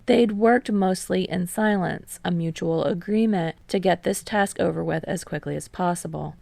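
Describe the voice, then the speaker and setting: A woman's voice: lowish voice, normal speed, gravelly, darkish tone, no echo. A woman reading a story aloud as scripted audiobook-style narration. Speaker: fan fiction reader.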